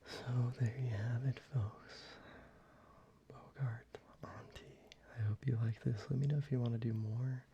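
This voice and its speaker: Guy whispering asmr, low gravelly voice, laid back, slightly dull recording with faint hiss. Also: unhurried. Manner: whispered, relaxed, casual with swearing. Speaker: ASMR creator. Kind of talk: soft-spoken ASMR show-and-tell.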